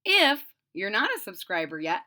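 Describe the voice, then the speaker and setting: A woman storyteller reading a children's picture book aloud expressively, pausing to recap it in simple words. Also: medium pitch, very animated, bright, forceful tone.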